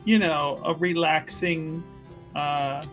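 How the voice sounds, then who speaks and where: A man with a fairly high voice talks through a tabletop game in an animated, jokey way. He is chatty and improvises casually as a player. Music plays faintly behind him. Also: takes it slowly. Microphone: streaming microphone on a remote call.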